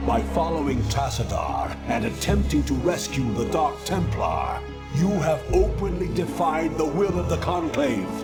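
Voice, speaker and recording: An actor voicing a haughty, stern alien judge in a video game, with pompous, condescending, formal speech. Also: male voice, fairly high pitch, full character voice. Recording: music under the voice. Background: music.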